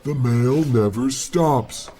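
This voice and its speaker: A man's voice: medium pitch, slow, mellow, faint music behind, natural voice. A man playing a stern, pompous post office boss who scolds curtly, in old-time radio comedy style. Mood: stern, unimpressed. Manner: curt, pompous, admonishing.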